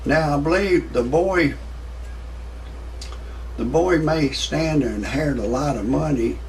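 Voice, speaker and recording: A man in his sixties giving rambling, off-the-cuff commentary in a folksy, repetitive, halting way, as an amateur video host. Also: on the high side, gravelly, darkish tone, recorded from a distance, a little hiss or hum. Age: 60s.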